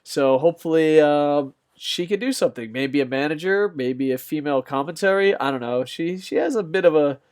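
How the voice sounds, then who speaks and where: A young man with a slightly squeaky voice, rambling through off-the-cuff wrestling news commentary with lots of fillers and restarts. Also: fairly quick, hardly any pauses, a little mellow, smooth. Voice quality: a bit squeaky.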